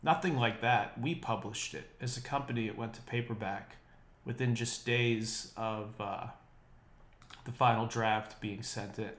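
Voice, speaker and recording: Wry opinionated podcast host, slightly nasal. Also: male voice, mid-range voice, steady tone, a little slow, fairly bright tone, echoey room. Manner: opinionated, wry, rambling with fillers. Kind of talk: casual solo podcast monologue. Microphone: unprocessed podcast mic.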